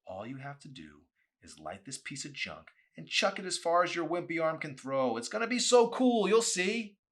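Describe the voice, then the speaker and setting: A man's voice: on the high side, very animated, moderate pace, a bit bright, voice pushed up. A man voicing a cocky boy who sneers and goads as a storybook character. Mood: excited goading. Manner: sneering, goading. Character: cocky, taunting boy daring his friend.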